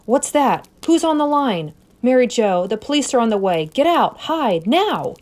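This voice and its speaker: Female narrator as anxious man on the phone, urgent, rushed, insistent, suspense story character. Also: fairly high pitch, big pitch swings, a little mellow, voice pushed up. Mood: alarmed, urgent.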